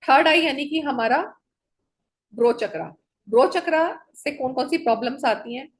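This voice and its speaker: A woman's voice: high voice, expressive, moderate pace. A woman teaching an online color therapy class, listing one item after another and checking in with her students.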